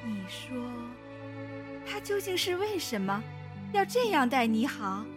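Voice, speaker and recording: A woman playing a caring, solicitous elder-sister figure of a pleasure house in a Chinese costume audio drama, gentle and worried. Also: high-pitched, very animated, unhurried. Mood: puzzled, probing. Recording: music laid over the voice.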